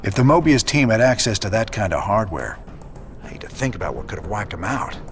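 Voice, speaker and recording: An actor playing a weary, wry rebel space commander in a video game, with dry, folksy military talk. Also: male voice, lowish voice, very animated, a little fast. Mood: wary. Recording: music behind.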